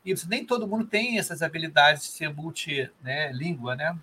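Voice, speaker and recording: A man's voice: fairly high pitch, animated, normal speed, bright, forceful tone, slight background noise. A man hosting a podcast, chatting casually and encouraging his guest with friendly remarks. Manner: friendly, encouraging, uses fillers like "né". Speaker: podcast host. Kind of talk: casual interview chat.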